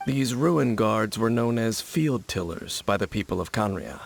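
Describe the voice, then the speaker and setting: An actor playing a stoic, mysterious warrior guide in a video game, speaking gravely and formally. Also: male voice, expressive, unhurried, heavily acted. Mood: explanatory, grave. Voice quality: low, gravelly.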